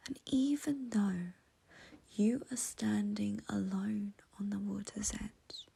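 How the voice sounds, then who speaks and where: Whispery, very breathy woman guiding meditation. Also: medium pitch, fairly even intonation. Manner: gentle, soothing, unhurried with long pauses. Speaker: guided meditation teacher. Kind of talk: guided meditation, soothing instruction.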